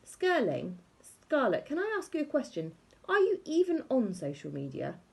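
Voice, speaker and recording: A woman voicing a keen, excitable gamer schoolgirl who speaks emphatically and gets exasperated, in a children's storybook reading. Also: high-pitched, normal speed, gravelly, pitched above the performer's own voice, full character voice. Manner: enthusiastic, emphatic.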